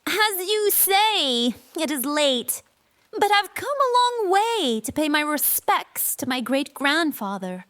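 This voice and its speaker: A woman playing an earnest, courteous young noblewoman in a fantasy audio drama, speaking gently and politely. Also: high voice, very animated, takes it slowly, no echo, heavily acted.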